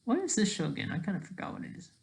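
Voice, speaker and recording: A person thinking aloud in a casual, digressive commentary over study notes, halting often and talking in an informal, self-deprecating way. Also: mid-range voice, huge pitch swings, creaky. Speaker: solo online commentator.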